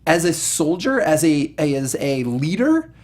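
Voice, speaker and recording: A man's voice: a little high, sing-song, a little slow, a little mellow. A man co-hosting a review show, giving opinions on films in a casual, emphatic way. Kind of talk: casual, opinionated film discussion.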